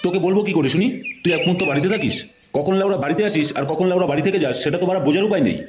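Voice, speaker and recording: A man's voice: sits high, racing, close to an everyday voice. A man voicing a grumpy, foul-mouthed middle-aged father in a comedy cartoon, scolding and griping. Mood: irritated. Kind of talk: Bengali comedy cartoon dubbing. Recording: clear background noise.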